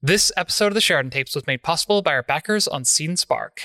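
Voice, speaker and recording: A man's voice: on the high side, expressive, fast talker, bright, forceful tone, no room sound, clean studio sound, faint music behind. A man reading scripted podcast credits and notices as an announcer. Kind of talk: scripted credits and content warning read.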